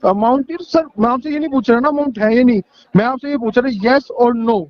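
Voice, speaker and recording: Pushy high-voiced man on a muffled phone line. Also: brisk, no echo. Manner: pushy, impatient, repetitive. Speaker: loan recovery agent. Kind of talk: pressuring phone conversation. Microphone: phone call. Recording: dull lo-fi phone call recording.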